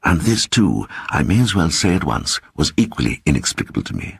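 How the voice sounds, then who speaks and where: An actor voicing a timid little man who earnestly and softly recounts an eerie adventure in a dramatic audiobook reading. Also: low-pitched, fairly quick, creaky, breathy edge, no room sound, full character voice. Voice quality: soft voice. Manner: hesitant, earnest, growing excited.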